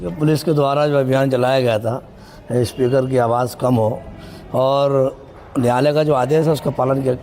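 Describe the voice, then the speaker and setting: A man giving a spontaneous, somewhat halting appeal in a news interview. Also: medium pitch, steady tone, mellow, soft background music. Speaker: mosque representative interviewed.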